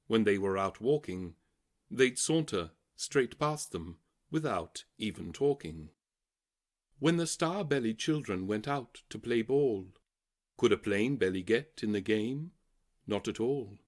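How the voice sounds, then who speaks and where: A man narrating a children's audiobook, reading rhyming verse in a theatrical, rhythmic way. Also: medium pitch. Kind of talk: expressive scripted children's story reading.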